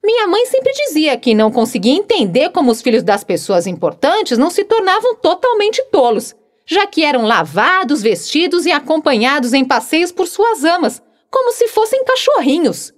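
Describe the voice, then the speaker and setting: A good-humored young housemaid talks frankly and matter-of-factly, as a woman narrator puts her on. Her voice sits fairly high and moves lively through each sentence. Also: normal speed. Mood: cheerful. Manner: frank, cheerful, matter-of-fact.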